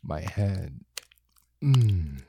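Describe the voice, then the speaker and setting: A man speaking in a slow, breathy intimate roleplay, moaning and dropping into whispers. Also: low voice, big pitch swings. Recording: clear background noise with clicking.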